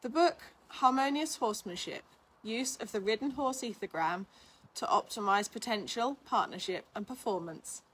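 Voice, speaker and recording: A woman reading a scripted article aloud in a measured, instructional manner. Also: fairly high pitch, a bit bright, slightly rough, low-quality recording. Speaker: reader of a blog, physiotherapist.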